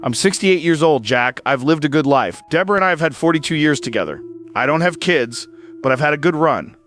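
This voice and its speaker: A man's voice: on the high side, lively intonation, fairly quick, a bit bright. A man playing a 68-year-old small-town radio host in an audio drama, solemn and fatalistic, delivering a plain-spoken emergency broadcast monologue. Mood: grim, resolved. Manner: solemn, resolute, plain-spoken short declarations. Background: music under the voice.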